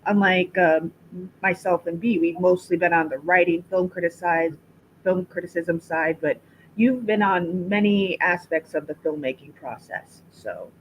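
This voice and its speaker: Measured woman moderating a panel. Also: lowish voice, a little hiss or hum, rough recording. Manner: measured, encouraging, with frequent affirming murmurs. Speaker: festival founder and host.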